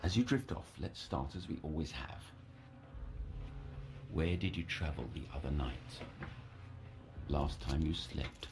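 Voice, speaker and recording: A man's voice: a bass voice, unhurried, long pauses, noisy background. A man playing an obsessive, controlling psychiatric counsellor in an audio drama, coaxing and grandiose, with a formal, theatrical delivery. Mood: controlling, soothing.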